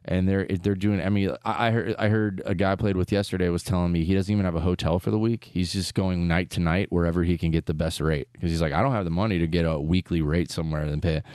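Rambling low-voiced male golf host. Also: fairly even intonation, quick pace, few pauses, a little mellow, dry recording, clean studio sound. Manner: rambling, many restarts and fillers. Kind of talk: casual interview chat.

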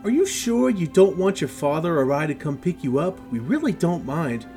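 Man putting on a high-pitched fussing New York mother. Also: moderate pace, faint music behind. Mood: worried, insistent. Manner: excitable, insistent.

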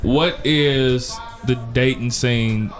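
A man's voice: mid-range voice, very slow, music in the background. A man hosting a casual podcast, asking questions bluntly and crudely. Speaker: podcast host.

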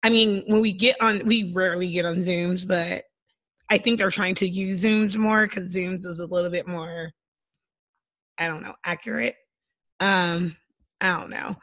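Slightly hoarse, giddy woman podcaster. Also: fairly low pitch, fairly even intonation, a little slow, a bit bright. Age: 30s. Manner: giddy, giggly. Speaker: podcast co-host. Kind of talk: casual, joking podcast chat. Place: remote video call.

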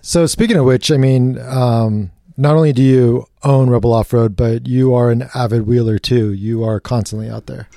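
A man with a mid-range voice co-hosts a podcast in a relaxed, jokey manner. He is recorded close on a dry, dead-sounding microphone. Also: mid-range voice, normal speed, creaky, hardly any pauses, dry recording. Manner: easygoing, jokey. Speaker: podcast co-host. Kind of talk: casual chat.

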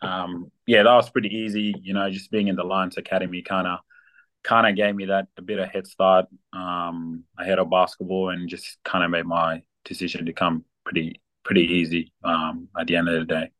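Young male guest from South Sudan, very low voice, casual podcast interview. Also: moderate pace. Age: young adult. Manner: relaxed, many ums and fillers. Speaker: guest athlete.

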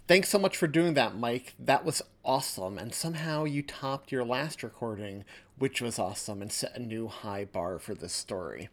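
A man's voice: mid-range voice, expressive, moderate pace. A man hosting a podcast, addressing listeners in an earnest, appreciative way. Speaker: podcast host and author.

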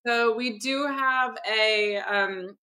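A woman from Barbados, a producer who is a guest in a conversational interview, talking in a lively way. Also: fairly high pitch, drawn-out words. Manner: lively, laughs through her stories.

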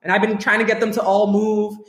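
An animated, outspoken woman talks frankly as a podcast guest. She grew up between Trinidad and Tobago and the US, and her voice rings in a roomy, echoey space. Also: medium pitch, fast talker. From Trinidad and Tobago, raised in the US. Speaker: guest, writer. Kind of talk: casual podcast conversation. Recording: roomy echo.

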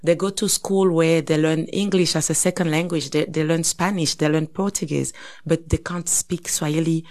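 A woman in her thirties from Congo talks through her thoughts on a podcast. Her voice sits somewhat low, and she speaks reflectively, pausing and restarting as she goes. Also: steady tone, a little mellow. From Congo (DRC). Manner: reflective, thinks aloud with many fillers and restarts. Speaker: podcast co-host. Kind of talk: casual conversation.

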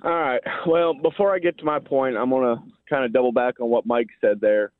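A man's voice: mid-range voice, steady tone, fairly quick, no room sound. A Midwestern American man phoning in to a radio show, explaining his point in colloquial, folksy speech. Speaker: radio phone-in caller. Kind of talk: informal, explaining and arguing a point. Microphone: telephone. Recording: thin, band-limited phone line.

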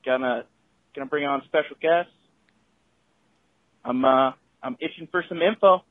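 A man's voice: fairly high pitch, a little slow. A man calling in to a podcast, casual and upbeat, talking like a buddy. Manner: casual, upbeat, says dude. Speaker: caller. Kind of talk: voicemail call-in. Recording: tinny phone line.

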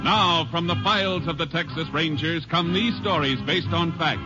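A man's voice: on the high side, normal speed. A man announcing a 1950s radio drama in a formal, dramatic way. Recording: muffled old radio with loud music.

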